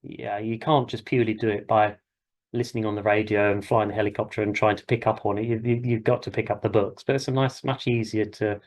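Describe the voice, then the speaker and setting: A man's voice: fairly low pitch, little pitch movement, fast. British man, informal flying instructor. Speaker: helicopter flying instructor and session host. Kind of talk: informal classroom lecture.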